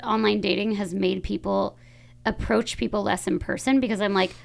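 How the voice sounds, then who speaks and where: A woman's voice: mid-range voice, fairly even intonation, normal speed, creaky. A woman in her thirties, a podcast host talking in a casual, chatty way full of fillers. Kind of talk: casual chat.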